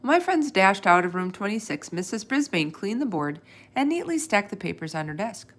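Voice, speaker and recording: Animated woman reading a children's story. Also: mid-range voice, fairly quick. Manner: animated, acts out dialogue. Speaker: storybook reader. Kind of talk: expressive children's read-aloud narration.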